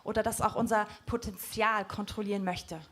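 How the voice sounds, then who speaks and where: A woman's voice: mid-range voice, steady tone, fairly bright tone. A woman interpreting a preacher's sermon sentence by sentence, sometimes correcting herself on the fly. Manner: quick, occasionally self-correcting. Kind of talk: live consecutive interpreting of a sermon. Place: church hall.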